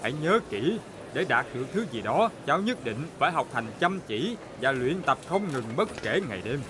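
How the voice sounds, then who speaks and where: A man's voice: medium pitch, sing-song, normal speed, natural voice. A man playing a proud old military grandpa train in a dubbed children's cartoon, speaking emphatically. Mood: stern, lecturing. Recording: loud music under the voice.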